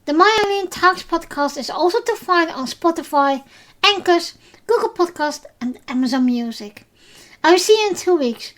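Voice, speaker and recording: A woman's voice: very high voice, animated, moderate pace, fairly bright tone. A woman with a Dutch accent, a native Dutch speaker, hosting a solo podcast that recaps episodes, talking with many fillers and restarts. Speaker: podcast host.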